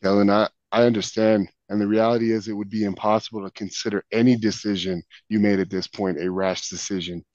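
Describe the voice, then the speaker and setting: Hoarse low-voiced man, dull dry line. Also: flat delivery, fairly quick, hardly any pauses, a little mellow, no room sound. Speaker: sales trainee. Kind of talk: sales role-play. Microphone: video call.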